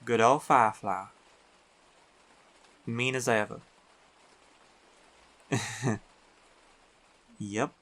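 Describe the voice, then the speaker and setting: Man playing a slow-talking, bright-voiced biker werewolf boyfriend. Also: mid-range voice, fairly even intonation. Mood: playful teasing. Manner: teasing, unhurried, colloquial. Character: big tall male biker werewolf boyfriend, teasing and affectionate. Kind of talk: intimate boyfriend roleplay audio acting.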